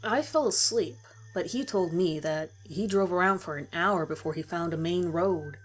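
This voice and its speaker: A woman's voice: fairly low pitch, normal speed. A woman reading a first-person horror story as a dramatic scripted narration. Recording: music behind the voice. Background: music.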